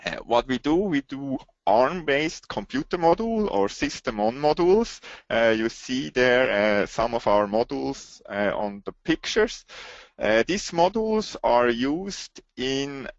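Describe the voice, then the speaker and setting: Swiss-accented male presenter, mid-pitched, halting delivery, dry close sound. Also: very animated, dry recording. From Switzerland. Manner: halting, with many hesitation fillers. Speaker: webinar presenter. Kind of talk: technical webinar presentation. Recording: dull, dry lo-fi audio.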